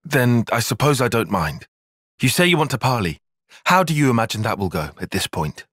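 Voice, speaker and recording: An actor playing a bookish young male soldier-tactician in a video game, with a British accent and a measured, formal, dry way of speaking. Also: medium pitch, big pitch swings, a bit bright, no room sound. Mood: calm, reasoning. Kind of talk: video game cutscene voice acting.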